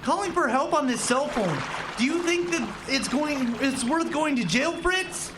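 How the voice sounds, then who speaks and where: A man's voice: very high-pitched, animated, a little slow. A man playing a determined, level-headed young husband in a radio play. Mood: tense, commanding. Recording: clear water noise behind the voice.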